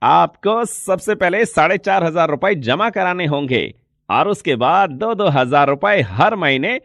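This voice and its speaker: Man as showroom salesman, polite, eager, explanatory, highish voice, animated melody, story dialogue. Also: measured pace, no echo, clean studio sound. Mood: polite, persuasive. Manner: courteous, explanatory sales patter. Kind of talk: Hindi moral story dialogue.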